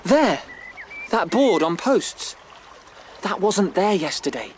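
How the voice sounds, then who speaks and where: A man playing an anxious, visionary young male rabbit in a radio drama, speaking in breathless, halting dread. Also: sits high, sing-song, slight background noise, heavily acted. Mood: urgent, pleading.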